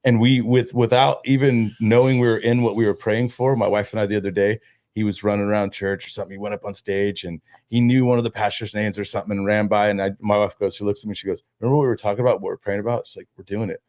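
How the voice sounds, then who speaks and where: A man's voice: lowish voice, fairly even intonation, a little mellow, dry recording. A man in his thirties, a guest in a casual conversation, talking loosely with many restarts. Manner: quick, loose talk with many restarts and "dude".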